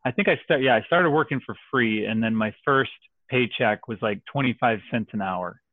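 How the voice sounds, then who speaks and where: A man from Texas speaking as a real estate investor guest on a podcast, relaxed and joking. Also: mid-range voice, normal speed, dry recording, phone-quality sound. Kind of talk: podcast interview.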